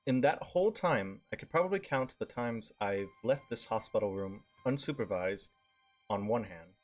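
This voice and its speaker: A man's voice: lowish voice, animated, moderate pace, faint music behind. A male streamer reading a visual novel aloud with casual asides, stumbling over words and swearing casually.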